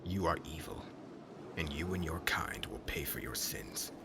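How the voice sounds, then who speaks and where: Man playing menacing witch hunter, very deep, hushed commands, formal, stern, ASMR fantasy roleplay, clear background noise. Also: animated, unhurried, full character voice. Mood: cold, accusing. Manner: commanding, formal speech, often hushed and threatening. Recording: clearly noisy ambient background.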